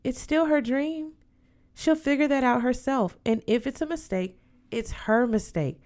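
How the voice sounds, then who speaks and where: A woman's voice: sits high, a little slow, darkish tone, pitched above the performer's own voice. A woman voicing an older godmother aunt in an audiobook, firm, affectionate and dutiful, counselling emphatically. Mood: firm, wise. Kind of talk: audiobook character voice.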